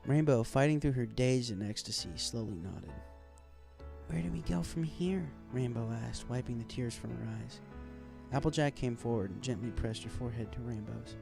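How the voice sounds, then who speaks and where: A man's voice: medium pitch, normal speed, vocal fry, over music. A man reading a scripted fan fiction story aloud as its narrator.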